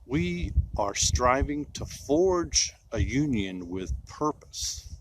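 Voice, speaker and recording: A man reciting poetry in a solemn style, with deliberate phrasing and dramatic pauses. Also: mid-range voice, very animated, slight background noise, poor audio.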